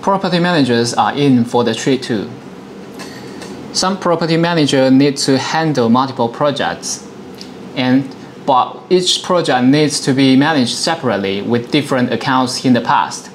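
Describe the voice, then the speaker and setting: Man, product presenter, medium pitch, step-by-step explanations, instructional dialogue, noise behind the voice. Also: normal speed. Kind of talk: software demonstration in a two-person dialogue.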